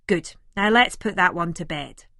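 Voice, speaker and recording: A woman's voice: on the low side, moderate pace, bright, forceful tone, dry recording, studio quality. Woman voicing a pompous, scheming male film director. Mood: brisk, commanding.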